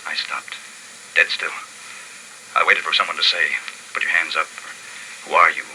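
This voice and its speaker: An actor with an American accent playing a grave, haunted lone survivor in a 1950s radio drama, with a brooding, emphatic delivery. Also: male voice, very deep voice, little pitch movement, normal speed, heavy background noise. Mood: tense, hushed. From California. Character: solitary male survivor narrating a post-apocalyptic world, grave and haunted. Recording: old radio broadcast with music behind.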